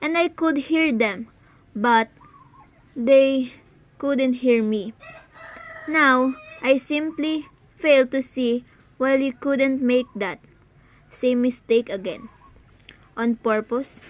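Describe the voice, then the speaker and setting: A woman playing an anxious, sickly, demanding wife in an amateur radio play, fretful, halting and insistent. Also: high voice. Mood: indignant, insistent.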